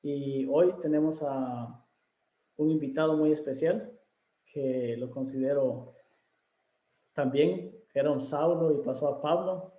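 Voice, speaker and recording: A man's voice: fairly high pitch, a little slow, warm tone, echoey room, dull audio. A man hosting a video interview, speaking casually with many fillers and restarts. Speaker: interview host.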